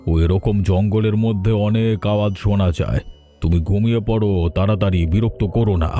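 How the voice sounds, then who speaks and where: A man's voice: on the low side, steady tone, moderate pace, warm tone, faint music behind, natural voice. A man playing a middle-aged cartoon husband and father, weary, dismissive and easily exasperated. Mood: irritated.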